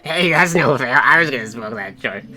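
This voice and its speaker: A woman's voice: a bass voice, lively intonation, normal speed, sharp tone, soft background music, exaggerated voice. A woman voicing a brash, foul-mouthed, hungry boy trainer in an improvised cartoon fandub, slangy and exasperated. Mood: eager.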